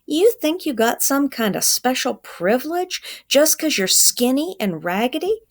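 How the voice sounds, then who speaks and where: A woman's voice: sits high, very animated, measured pace, pitched above the performer's own voice, exaggerated voice. A woman voicing a stern, threatening man who guards a food line in a children's storybook, scolding in folksy, ungrammatical speech. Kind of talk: children's storybook read-aloud.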